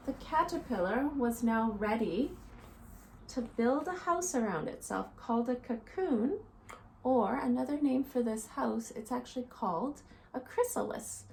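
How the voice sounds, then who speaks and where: A woman in her thirties reads and explains a picture book to young children like a teacher. Her voice is fairly high and very animated, with slow, deliberate phrasing. It is recorded indoors with faint ambient noise behind her. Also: creaky, poor audio. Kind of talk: expressive picture-book read-aloud and simple lesson for children. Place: small living room, indoors.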